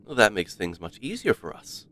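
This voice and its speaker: Low, formal scholarly ruins researcher played by a man. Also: wildly swooping pitch, pushed and bright, exaggerated voice. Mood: pleased, brisk. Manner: formal, explanatory, measured. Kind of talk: read-aloud game dialogue.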